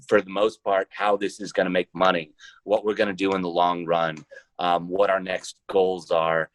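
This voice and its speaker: A man's voice: sits low, fairly bright tone, dry recording, low-quality recording. A man answering casually and conversationally as the guest in a podcast interview.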